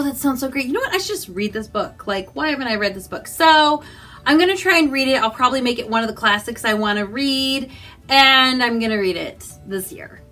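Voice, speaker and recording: A woman chatting casually as a book vlogger, animated and rambling, with self-deprecating asides. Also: sits high. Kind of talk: casual chatty vlog to camera.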